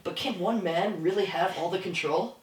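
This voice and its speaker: A man's voice: high voice, moderate pace. A man hosting a casual discussion as moderator, putting questions to a guest. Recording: echoey room, distant mic, background noise, clicks.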